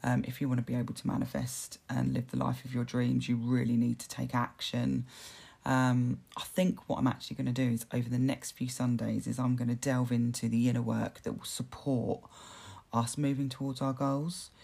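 A woman hosting a motivational self-help podcast, talking earnestly with a hoarse, dry voice, repeating words and using fillers as she goes. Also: rumbling low, flat delivery, moderate pace, dark tone. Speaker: podcast host.

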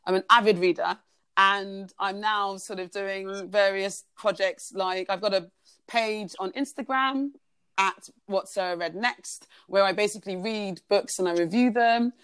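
A woman's voice: medium pitch, fairly even intonation, unhurried, a bit bright, clean tone, no room sound. A woman, telling her story as a podcast guest in a chatty way, with many fillers and restarts. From Uganda. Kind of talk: casual conversational storytelling.

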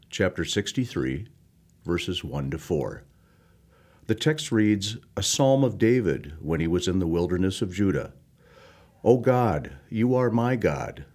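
A man's voice: low voice, darkish tone. A man reading scripture and a written devotional reflection from a script. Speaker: devotional reader.

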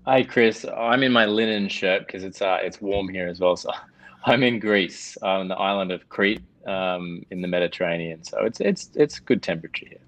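A man with an Australian accent, a guest in a casual video chat, relaxed and easygoing. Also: deep voice, moderate pace. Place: video call.

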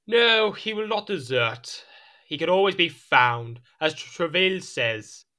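Period audio drama: petulant male monarch. Higher register, very animated, haughty and quick to exasperation. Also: full character voice. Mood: decisive. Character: petulant, imperious, easily irritated king.

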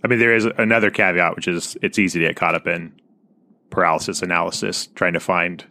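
Low, bright-toned man hosting an interview. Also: steady tone, fast, no room sound. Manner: engaged, adds his own anecdotes. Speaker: podcast host. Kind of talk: conversational interview.

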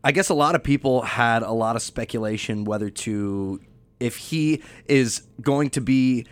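A man's voice: medium pitch, normal speed, dry recording. A man talking football in a casual debate, rambling and often restarting his sentences. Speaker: sports podcast co-host.

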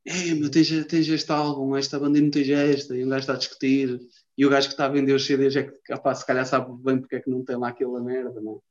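Um homem de Lisboa, com sotaque lisboeta, numa conversa descontraída, cheia de calão e palavrões e a divagar. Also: male voice, mid-range voice, little pitch movement, quick pace. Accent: Lisbon Portuguese. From Lisbon, Portugal. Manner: slangy, swears a lot, rambling. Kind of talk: casual chat. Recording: dull lo-fi sound.

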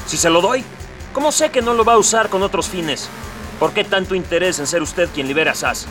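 High pitched young hero guy, determined, bit far from mic, radio play. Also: brisk, pushed and bright, soft background music. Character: earnest young Mexican male hero, determined. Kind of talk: radio drama acting. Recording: distant from mic.

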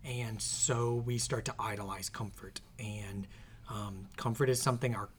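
A man hosting his podcast in casual conversation, his voice a little scratchy, talking in an earnest, reflective way that often trails off softly. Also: lowish voice, fairly even intonation, unhurried. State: slightly scratchy voice. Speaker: podcast host and author. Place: in the same room as co-host.

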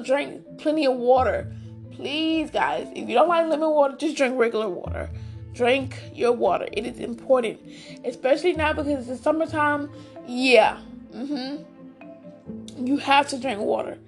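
A high-pitched woman with a raspy, hoarse voice chats casually with her podcast listeners. She talks with friendly enthusiasm and keeps calling them "guys". Faint music plays behind her. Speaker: podcast host. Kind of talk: casual solo podcast chat.

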